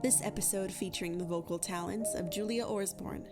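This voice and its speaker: A woman's voice: medium pitch, a little fast. A woman announcer reading scripted end credits for an audio drama. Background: loud music.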